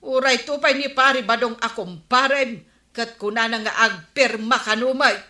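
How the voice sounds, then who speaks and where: A woman's voice: a little high, normal speed, bright, forceful tone, reverberant. A woman with an Ilocano accent playing a scolding, exasperated village woman in a comedy radio drama. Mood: reproachful. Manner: nagging, reproachful.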